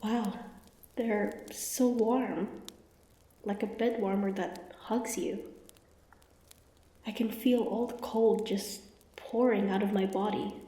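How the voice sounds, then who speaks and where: A woman's voice: medium pitch, fairly even intonation, takes it slowly, long pauses, dark tone, lightly acted, poor audio. A woman playing a proud, suspicious young female hunter in a fantasy audio roleplay, guarded and easily flustered, with a grudging tsundere streak. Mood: drowsy, relieved.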